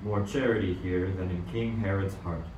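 A man's voice: sits low, steady tone, normal speed, darkish tone, close to an everyday voice. A man playing a solemn, sorrowful king of the poor in a radio play, grave and declamatory. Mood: reverent. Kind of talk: amateur radio play acting. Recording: echoey room, distant mic, faint noise.

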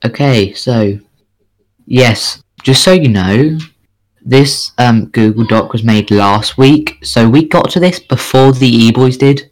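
A man's voice: medium pitch, slow, poor audio. A man co-hosting a casual podcast, reading odd news as quiz questions in a joking, bingo-host manner.

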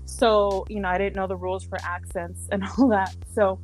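A young adult woman from San Diego, a social worker talking casually as a podcast guest, with soft laughs and many fillers. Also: mid-range voice, little pitch movement, moderate pace, faint music behind, low-quality recording. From San Diego, California. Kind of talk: casual interview chat.

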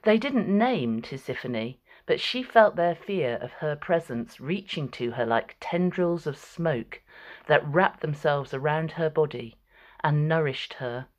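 A woman with a low voice narrates a dramatic story from a script. She takes it very slowly, giving the prose room. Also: lively intonation.

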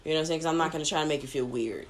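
A woman guest on a casual podcast, relaxed and matter-of-fact, often filling with "you know". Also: deep voice, very fast. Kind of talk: casual explicit podcast chat.

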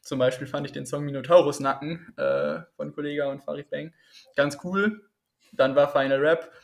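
Gravelly-voiced guy rambling on a podcast, lots of ums, laid back. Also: fairly high pitch, moderate pace. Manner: relaxed, rambling, filler-heavy, chuckles often. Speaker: podcast guest. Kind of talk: casual chat.

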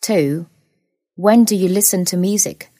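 A woman reading out speaking-test questions as an examiner in a plain, measured way. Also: medium pitch, mellow, no room sound, studio quality.

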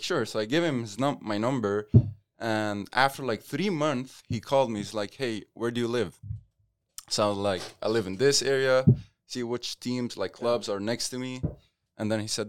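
A young man, a podcast guest telling his playing story in a relaxed way, full of fillers. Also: mid-range voice, no room sound, studio quality. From Lebanon. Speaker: podcast guest, futsal player. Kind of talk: casual interview chat.

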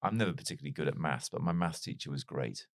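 A very deep male voice with a mild British lilt from a man who grew up in North Wales. He tells stories in a reflective, self-deprecating way. The sound is dry and close, as if recorded on a studio mic. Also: fast talker, creaky, no room sound. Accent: mild British English, not strongly Welsh. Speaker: podcast guest. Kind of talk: casual interview storytelling.

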